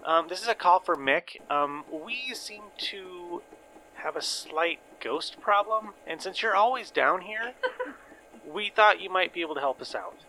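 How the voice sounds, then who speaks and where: Man putting on a bright-voiced flirty woman caller. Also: sits high, lively intonation, measured pace, a little hiss or hum, exaggerated voice, poor audio. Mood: flirty, casual.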